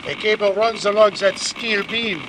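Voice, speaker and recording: Male actor as anxious scientist, high voice, earnest explaining, radio serial, noisy stage recording. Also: normal speed, lightly acted. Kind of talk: old-time radio serial acting. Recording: noisy live stage, distant.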